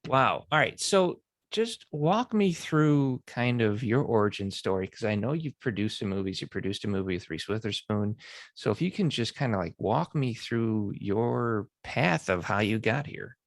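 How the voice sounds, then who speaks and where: Guy hosting a podcast, gravelly voice, dry close sound, upbeat and stumbly. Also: fairly low pitch, expressive, moderate pace, dry recording. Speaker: podcast host. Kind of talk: casual interview chat with ad read.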